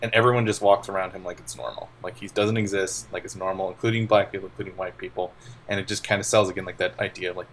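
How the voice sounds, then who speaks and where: A man's voice: deep voice, a bit bright, a little hiss or hum, low-quality recording. A man co-hosting a casual film review podcast, talking in long, winding analytical turns from his computer at home. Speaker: podcast co-host.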